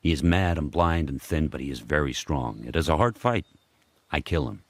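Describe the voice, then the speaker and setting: An actor voicing a powerful, solitary dog turning wild, telling his story in terse, measured present-tense sentences for a radio drama. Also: rumbling low, expressive. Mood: grim, primal.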